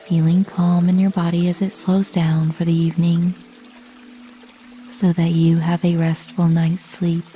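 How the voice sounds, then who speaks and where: A woman narrating a scripted sleep meditation like a bedtime story, calm and soothing, with measured delivery and long pauses. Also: lowish voice, soft background music.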